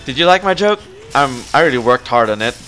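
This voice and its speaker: A man's voice: mid-range voice, animated, a little fast, a bit bright, soft background music, rough recording. A man chatting casually through co-op gameplay as he leads, jokey and often thinking aloud. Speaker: gamer leading a co-op session.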